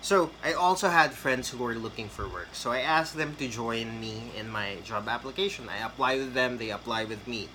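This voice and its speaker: Guy in his 30s rambling through a tutorial, bright voice, faint room hum behind. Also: mid-range voice, moderate pace, hardly any pauses, rough recording. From Philippines. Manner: chatty, rambling with fillers and restarts. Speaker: call center trainer. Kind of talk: casual tutorial talk to camera.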